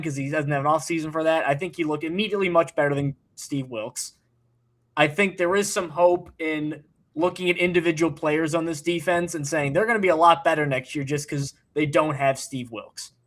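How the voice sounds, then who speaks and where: A man's voice: fairly high pitch, fairly bright tone. A man co-hosting a sports podcast as an analyst, giving blunt, sarcastic takes in casual chat.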